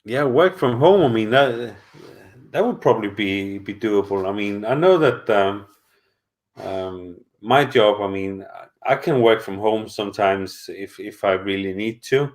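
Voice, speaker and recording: Rambling middle-aged man, low voice. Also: expressive, measured pace. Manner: hesitant, rambling, many fillers and restarts. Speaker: channel host answering live chat. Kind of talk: casual live-stream chat. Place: at home.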